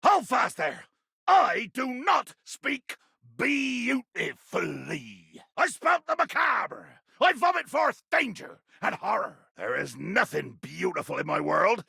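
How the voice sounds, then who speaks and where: A man voicing a bloodthirsty, irascible pirate captain for a video game, ranting theatrically in archaic sea-dog speech with a gravelly growl. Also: sits high, big pitch swings, slow, pushed and bright, dry recording, studio quality, heavily acted. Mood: fierce, ranting. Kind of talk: video game character acting.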